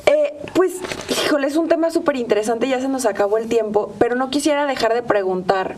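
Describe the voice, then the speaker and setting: A woman's voice: fairly high pitch, a little fast, darkish tone. A woman with a Mexican accent hosting a conversational interview, thinking aloud with hesitant repetitions. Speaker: host. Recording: slightly distant microphone, faint noise.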